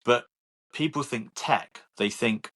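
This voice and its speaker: A man's voice: medium pitch, slow pace, a bit bright, a little hiss or hum. A man co-hosting a podcast, chatting casually and giving firm opinions while talking over others. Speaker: podcast co-host. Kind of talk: casual chat.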